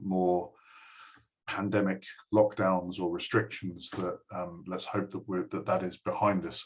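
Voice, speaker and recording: A middle-aged man giving a recorded address to a school, talking hesitantly with many ums and restarts. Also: low-pitched. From United Kingdom. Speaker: guest speaker addressing a school.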